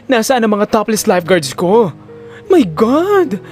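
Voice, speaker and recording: A man's voice: high voice, very animated, a little slow, darkish tone, soft background music, pitched above the performer's own voice. A man voicing a flamboyant, dramatic grown son in a comic audio drama, campy, whiny and full of complaint. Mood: whiny, exasperated.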